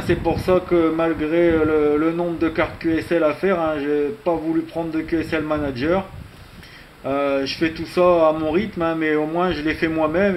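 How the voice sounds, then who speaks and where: A man with a fairly high voice talks casually as a ham radio operator over a light layer of background noise. His delivery is conversational and a bit halting, punctuated with small tag words. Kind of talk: casual radio contact chat. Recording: faint background hiss.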